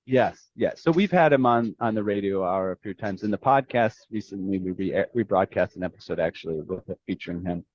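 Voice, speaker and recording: A man's voice: low-pitched, no echo, muffled recording. A man hosting a podcast, asking long questions that stall, with repeated words along the way. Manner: halting, repeats words while framing questions. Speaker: podcast host. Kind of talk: casual interview.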